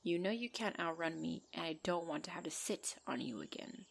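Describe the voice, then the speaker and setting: A woman's voice: on the low side, expressive, a little fast, creaky, slight background noise. A woman voicing a possessive female centaur in an ASMR roleplay, bantering and commanding, with dry exasperation. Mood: firm, conversational. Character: possessive female centaur, bossy, teasing, half-gentle captor.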